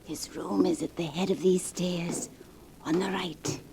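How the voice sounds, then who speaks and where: An actress playing a deluded old recluse aunt in a 1940s radio horror drama, doting and fussing tenderly. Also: female voice, fairly low pitch, fairly even intonation, a little slow, vocal fry, noisy background. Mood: hushed, fussing. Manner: hushed, fussing, tender, as if humoring someone present.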